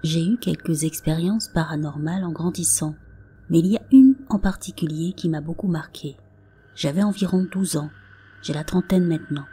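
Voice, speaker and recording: A woman reading scripted paranormal stories as a narrator, in a soft, half-whispered delivery. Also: low voice, normal speed, breathy edge. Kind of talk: scripted narration read aloud. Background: faint background music.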